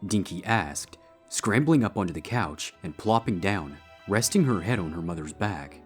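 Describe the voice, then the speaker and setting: A man's voice: sits low, animated, moderate pace. A man giving a scripted narration of a story as its narrator. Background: background music.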